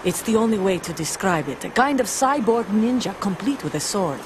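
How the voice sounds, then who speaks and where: Woman acting as blunt female soldier, distrustful, middle pitch, game drama, clear outdoor noise. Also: lively intonation, brisk, close to an everyday voice. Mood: puzzled, irritated.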